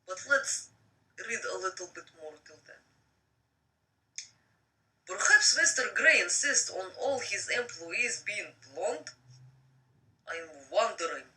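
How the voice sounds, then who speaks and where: A woman reading a story aloud as host of a live stream, in a theatrical, drawn-out, expressive way. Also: mid-range voice. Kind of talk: live dramatic audiobook-style reading with casual asides. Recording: distant microphone on live stream.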